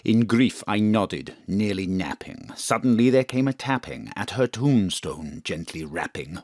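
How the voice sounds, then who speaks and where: An actor playing a gloomy, grieving man who recites gothic verse in a melodramatic, drawn-out manner for an audio drama. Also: medium pitch, no room sound, studio quality, exaggerated voice. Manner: melodramatic, drawn-out, hushed then crying out.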